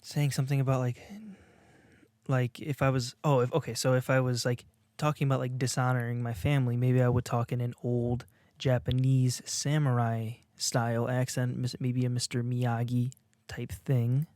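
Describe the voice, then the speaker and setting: A man's voice: mid-range voice, level tone, normal speed, darkish tone, dry recording. A young man, co-host of a podcast, rambling through a casual, self-mocking comedic monologue full of restarts.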